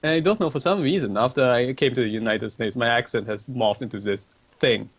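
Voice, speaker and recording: A man's voice: mid-range voice, brisk, slightly rough, no room sound. A young man from Malaysia hosting a casual livestream, chatty and rambling as he reads viewer questions aloud at a desk microphone. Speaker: livestream host. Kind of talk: casual stream chat, answering viewer questions.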